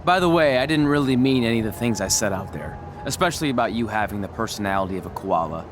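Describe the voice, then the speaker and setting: A man's voice: on the low side, expressive, fast talker, background noise, close to an everyday voice. A man playing an eager, cocky young rookie wrestler in a video game cutscene, with a casual, glib way of talking. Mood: lighthearted.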